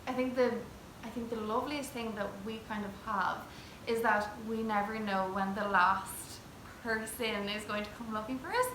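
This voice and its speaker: A young woman with an Irish accent chats in a lively way, restarting her sentences and adding little asides. Her voice sits a touch high. It rings in an echoey room with faint noise behind. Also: normal speed, few pauses. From Ireland. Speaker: interviewee, traditional singer. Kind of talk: casual chat.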